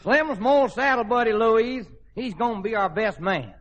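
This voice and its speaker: Lively high-voiced Texan rancher. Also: male voice, normal speed. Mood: cheerful. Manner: plain-spoken, folksy, laconic. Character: folksy Texan oil rancher, easygoing bridegroom. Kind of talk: old-time radio comedy acting. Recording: noisy, muffled old radio recording.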